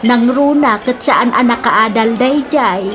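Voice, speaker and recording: A woman's voice: a little high, measured pace, over music, close to an everyday voice. A woman with an Ilocano accent playing a nagging, exasperated older aunt in a radio drama, scolding in a matter-of-fact way. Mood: reproachful.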